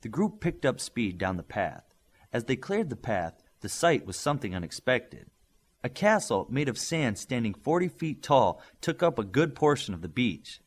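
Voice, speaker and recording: A man reading scripted story narration, as an audiobook reader does. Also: medium pitch.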